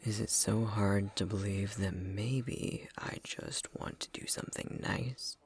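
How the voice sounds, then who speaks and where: A man's voice: fairly low pitch, fairly even intonation, slow, vocal fry. A man playing a prickly, grudgingly caring elf academic in an ASMR roleplay, with a curt, haughty, exasperated delivery that often drops into whispers. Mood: scolding, gruff.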